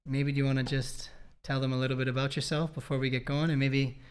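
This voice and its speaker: A man in his thirties from Canada, hosting a casual relationship chat in a relaxed, rambling way with joking asides. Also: mid-range voice, fast. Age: 30s. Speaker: podcast host.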